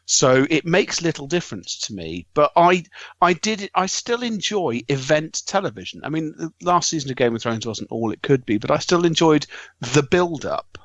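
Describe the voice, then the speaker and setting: A man's voice: fairly high pitch, sing-song, normal speed, fairly bright tone, no echo. Wordy man, dry muffled mic. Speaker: podcast panellist. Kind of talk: casual discussion.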